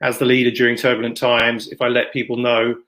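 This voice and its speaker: A man hosting an online event in a casual, unscripted way, with halting, informal delivery. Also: medium pitch, monotone, a bit bright. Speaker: event host and moderator. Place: online video session. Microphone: computer microphone, plugging in another mic partway.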